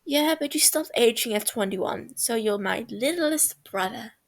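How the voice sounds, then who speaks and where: A woman's voice: a little high, expressive, measured pace. A woman voicing a cheerful, clingy young man who teases his brother in a storybook reading.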